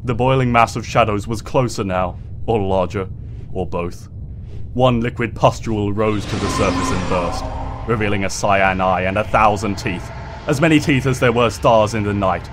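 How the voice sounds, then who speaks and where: A man's voice: on the low side, noisy background. A man reading fiction aloud as a narrator, in a dramatic scripted storytelling style. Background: ambient sound effects.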